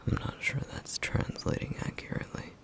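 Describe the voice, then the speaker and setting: A man voicing a stern yet caring flame demon in an ASMR roleplay, speaking in a hushed, gravelly whisper with measured, formal phrasing. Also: natural voice. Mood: calm, instructive. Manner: measured, formal, slightly old-fashioned phrasing.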